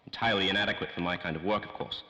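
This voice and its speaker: A man acts a self-important doctor of science from a 1930s radio serial. His low voice has a sharp, forceful edge, and he rattles off formal, pedantic phrases quickly. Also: steady tone, slight background noise, poor audio. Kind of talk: 1930s old-time radio drama acting.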